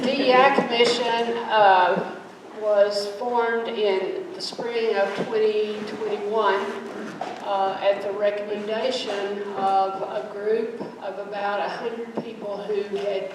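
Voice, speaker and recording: A woman on a community panel, talking in a halting, self-deprecating way with many filler sounds. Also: mid-range voice, background noise. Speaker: city council member and panelist. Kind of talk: panel presentation. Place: large echoey lodge hall. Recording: distant, echoey hall recording.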